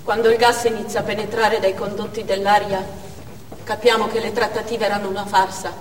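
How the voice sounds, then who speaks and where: A woman's voice: medium pitch, flat delivery, brisk, a little hiss or hum, close to an everyday voice. An actress playing a desperate, defiant female Chechen militant in a declamatory theatrical monologue. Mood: grim, resigned. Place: theatre stage, picked up from afar. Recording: distant echoey theatre hall with music.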